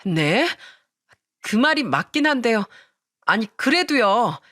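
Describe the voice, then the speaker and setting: A woman's voice: a little high, sing-song, slow pace, fairly bright tone, dry recording, studio quality, full character voice. A woman voicing a fussy, entitled eldest daughter-in-law in a family story, pleading and indignant in manner. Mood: indignant protest. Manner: gushing, whining, indignant.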